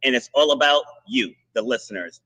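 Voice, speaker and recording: Guy hosting a video podcast, pushy upbeat pep-talk vibe, kinda raspy. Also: medium pitch, lively intonation, sharp tone. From Rhode Island. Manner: motivational, talks in quick bursts with restarts. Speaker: podcast host. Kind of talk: energetic podcast monologue and interview.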